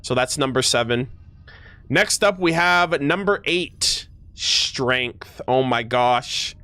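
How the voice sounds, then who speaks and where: A man's voice: medium pitch, slow pace, bright, forceful tone, no room sound. A man doing casual gaming commentary as a streamer, enthusiastic and slangy, often stumbling over his words and reading game text aloud. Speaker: streamer reacting to a video game.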